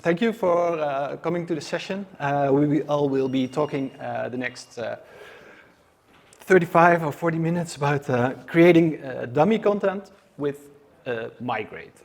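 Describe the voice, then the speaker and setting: A man's voice: a little high, a little slow. A man from the Netherlands with a Dutch accent, giving a live conference talk to an audience in a hesitant way, with many filler sounds and restarts. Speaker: conference speaker. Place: conference room.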